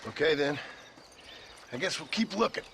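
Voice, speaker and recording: An actor playing a weary, sardonic cowboy outlaw in a western video game, dry and curt with a raspy voice. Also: male voice, a little high, lively intonation, moderate pace, background noise. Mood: guarded.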